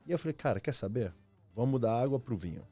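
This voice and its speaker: A man talks in a medium-pitched voice. He tells personal stories in an informal, slangy and reflective way, as a guest in a conversation, with faint music behind him. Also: animated, fast, dark tone, breathy edge. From Argentina. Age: 30s. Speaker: podcast guest, former marketing executive. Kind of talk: casual conversational storytelling.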